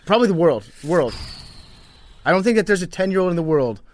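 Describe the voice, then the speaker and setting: A man's voice: high voice, lively intonation, a little fast. A man co-hosting a podcast in casual comic banter, boastful and stubborn in a mock-serious way, often swearing. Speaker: podcast co-host.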